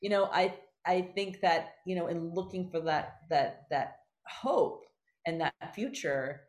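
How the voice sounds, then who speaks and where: A woman speaks as a guest in an interview, her voice sitting a little lower than average. She is effusive and emphatic, wandering from one tangent into the next in animated conversation. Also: measured pace.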